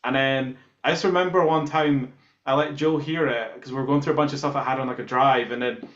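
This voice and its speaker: A man's voice: medium pitch, steady tone, brisk, fairly bright tone. A man from Scotland, a musician in a band, casually recounting things in an interview. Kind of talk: casual chat, telling how a song was written. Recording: echoey room, slightly dull sound.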